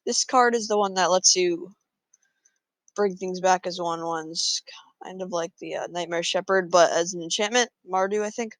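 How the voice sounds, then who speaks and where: A man's voice: sits high, normal speed, fairly bright tone, no echo. A man casually talking through his moves in a card game, thinking aloud with frequent fillers. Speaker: gameplay commentator.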